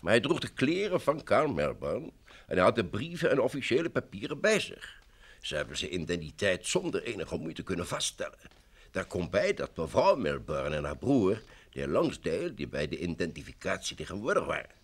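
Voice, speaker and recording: An actor playing a polite, obliging, assured official in an old Dutch radio drama, with a formal, explanatory manner. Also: male voice, deep voice, big pitch swings, normal speed, breathy edge, exaggerated voice. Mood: matter-of-fact.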